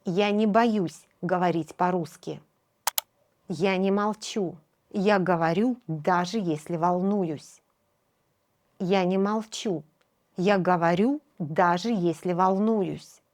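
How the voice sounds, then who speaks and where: A woman teaching a language lesson, speaking slowly and clearly and saying each practice phrase twice with patient encouragement. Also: on the low side, a little mellow. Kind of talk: slow, clear shadowing lesson.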